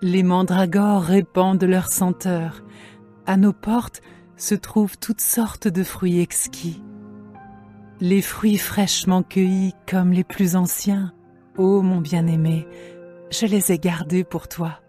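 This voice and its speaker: A woman playing a tender, devoted young woman in love, in a slow, measured dramatised scripture reading. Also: lowish voice, steady tone, mellow, soft background music. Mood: tender, longing.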